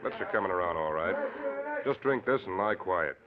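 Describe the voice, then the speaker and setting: A man's voice: low-pitched, animated, normal speed, narrow, tinny audio, a loud crowd. An actor playing a kindly, folksy motorcycle policeman with a plainspoken, reassuring manner in an old-time radio mystery. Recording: thin, noisy old radio recording.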